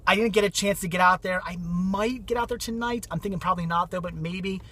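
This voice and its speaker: High, bright-voiced chatty man. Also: fairly quick. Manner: rambling, enthusiastic, repeats "very cool". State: losing his voice from a cold. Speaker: vlogger showing thrift finds. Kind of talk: casual vlog chat.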